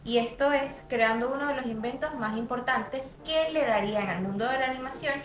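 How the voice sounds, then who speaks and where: A woman's voice: on the high side, brisk. A young woman reading a scripted documentary narration as a student narrator. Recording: roomy voice-over with music bed.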